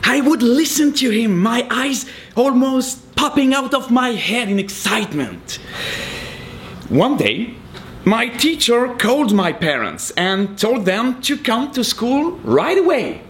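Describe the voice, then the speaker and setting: A man's voice: extremely high pitch, very animated, slow pace, a bit bright. A man from Belgium, a native French speaker with a Belgian French accent, telling a self-mocking story to an audience. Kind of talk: storytelling performance. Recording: noisy live recording.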